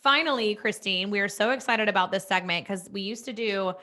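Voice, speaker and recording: The bright-voiced thirty-something woman at the sports bar table, eagerly chatting through every player with her friends. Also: mid-range voice, brisk. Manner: chatty, enthusiastic. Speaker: co-host of a sports podcast. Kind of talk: casual sports chat.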